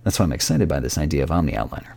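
A man's voice: extremely low pitch, big pitch swings, racing, creaky, darkish tone, no room sound. A man hosting a conversational podcast interview, phrasing questions and remarks in a wordy, considered way. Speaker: interview host.

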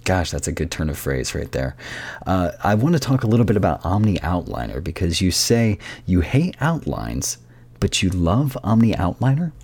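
A man's voice: deep voice, big pitch swings, normal speed, darkish tone, husky. A man hosting a podcast interview in a conversational, appreciative way, feeling for his words as he goes.

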